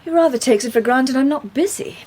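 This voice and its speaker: A woman's voice: high voice, a little fast, darkish tone. An actress playing a dry-witted lady detective in a radio drama. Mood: wry. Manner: dry, wry.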